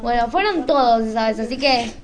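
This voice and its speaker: A woman's voice: on the high side, expressive, fairly quick, crowd noise. A young woman with a Rioplatense Argentine accent, chatting casually on radio and searching for her words. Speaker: radio show presenter. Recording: faint background noise.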